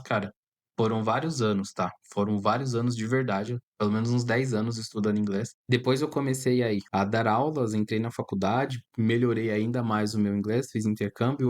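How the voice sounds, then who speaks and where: A man in his thirties with a Brazilian Portuguese accent, an English teacher chatting casually to camera and dropping in little tag questions. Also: medium pitch. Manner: chatty, peppers speech with "cara" and "tá?". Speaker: English teacher and channel host.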